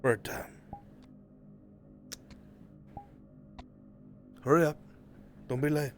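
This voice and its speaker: A man playing a composed, teasing, calculating fantasy killer and lover in improvised online roleplay, with measured, precise wording. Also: a little high, fairly even intonation, faint music behind, lightly acted.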